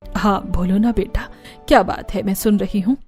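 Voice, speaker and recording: A woman's voice: medium pitch, steady tone, normal speed, darkish tone, faint music behind, pitched below the performer's own voice, close to an everyday voice. Woman playing a bossy cartoon mother-in-law. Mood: indulgent. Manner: stern, exasperated, commanding. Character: bossy, scolding middle-aged mother-in-law. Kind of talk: animated family story dialogue.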